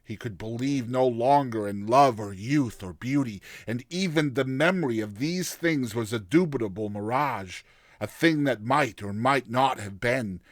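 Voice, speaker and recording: A man narrating a classic fantasy story in a solemn, theatrical and deliberate style. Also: medium pitch, no room sound, clean studio sound. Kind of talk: dramatic scripted story narration.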